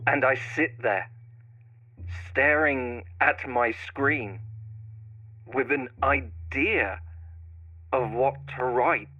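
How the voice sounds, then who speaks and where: A man playing a brooding horror writer turned stalker, narrating in first person with deliberate, dramatic pauses in a slightly muffled recording. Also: mid-range voice, sharp tone, exaggerated voice. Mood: grim.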